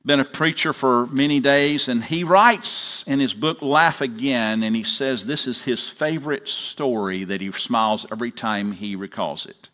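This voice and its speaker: A man in his fifties with an American accent, preaching as a pastor in a deliberate, halting way with pauses. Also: mid-range voice, a bit bright. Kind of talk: sermon with prayer and storytelling. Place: large church auditorium, on stage at a lectern.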